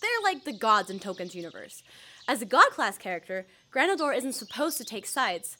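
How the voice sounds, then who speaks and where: A woman's voice: a little high, sing-song, normal speed, pushed and bright, slight background noise. A woman playing a fierce, vengeful young role-play gamer in an audio drama, speaking with intensity. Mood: indignant. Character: fierce, vengeful young female live-action role-player.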